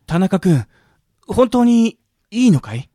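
A woman's voice: mid-range voice, big pitch swings, slow pace, warm tone, no echo, studio quality. A woman playing a kindly, emotional skeleton who manages a gym, in a radio drama. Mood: concerned.

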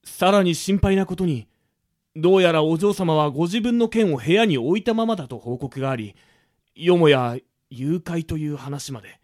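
An actor playing a stern, worried butler in a radio drama, speaking in stiff, archaic, very polite phrases with music behind him. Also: male voice, on the high side, moderate pace, darkish tone, natural voice. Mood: grave, worried. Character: formal, stern, worried elderly male butler. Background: background music.

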